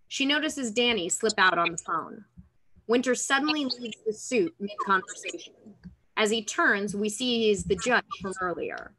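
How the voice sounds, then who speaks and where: A woman's voice: mid-range voice, pushed and bright, poor audio. A young woman narrating scripted stage directions for a table read over a video call. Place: room at home, on a video call.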